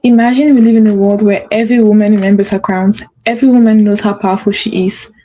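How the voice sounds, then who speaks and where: A woman's voice: medium pitch, fairly even intonation, quick pace, dark tone, rough recording. A woman hosting a motivational talk, speaking with a stutter and repeating phrases for emphasis. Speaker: host.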